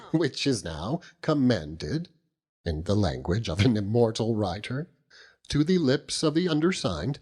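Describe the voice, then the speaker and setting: A man voicing a pompous, theatrically gloomy middle-aged gentleman in debt, in florid phrases, for an audiobook. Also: medium pitch, big pitch swings, measured pace, slightly rough. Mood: grandly gloomy. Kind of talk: audiobook character reading.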